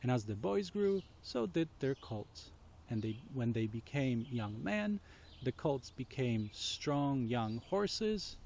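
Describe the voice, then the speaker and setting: Slow-paced man telling children's stories. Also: medium pitch, very animated, gravelly, a little mellow, a little hiss or hum. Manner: deliberate, explains and puts questions to listeners. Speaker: children's storyteller. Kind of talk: storytelling for children.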